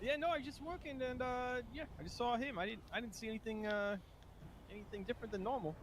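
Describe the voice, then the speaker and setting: A high, fast-talking working man played by a male gamer gives a hesitant, polite witness account in a roleplay game. He rambles nervously, with clearly noisy outdoor vehicle sound behind him. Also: steady tone. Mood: hesitant, uneasy. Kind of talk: improvised online game roleplay.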